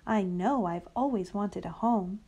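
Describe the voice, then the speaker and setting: A woman's voice: mid-range voice, a little slow, mellow, slightly rough. A woman voicing a small, wistful toy bear full of eager wonder in a storybook.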